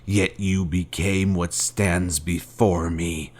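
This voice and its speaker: Really deep voiced evil ex-mentor, slow n solemn, pompous, cold, video game villain. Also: male voice, steady tone, slight background noise. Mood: solemn, reproachful. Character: menacing fallen Jedi master, grave former mentor, cold and grandiose.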